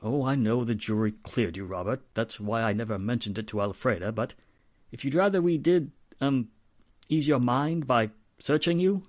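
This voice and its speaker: Man playing wealthy middle-aged father, genteel, hemming, politely insinuating, medium pitch, dry sound, 1940s radio drama. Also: moderate pace, no echo, close to an everyday voice. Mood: hesitant, insinuating.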